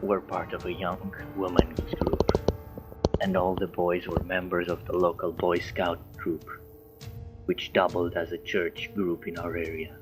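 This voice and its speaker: A man's voice: low voice, flat delivery, a little slow, low-quality recording. A man reading a story aloud as an amateur, stumbling over words and dropping in casual asides. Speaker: story reader. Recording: music behind the voice. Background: background music.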